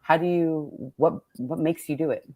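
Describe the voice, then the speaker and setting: A woman's voice: normal speed, a little mellow, no room sound. A woman hosting a casual, reflective conversation as a poet, searching for her words and often rephrasing as she goes. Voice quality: low-pitched. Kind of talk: casual reflective interview chat.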